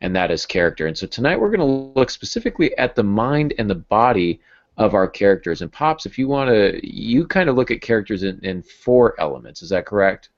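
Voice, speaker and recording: American man, thirties, low voice, casual podcast host, dull lo-fi call audio. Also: very animated, a little fast. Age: 30s. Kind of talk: casual chat. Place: home room with bookshelves. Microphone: earphone headset on video call.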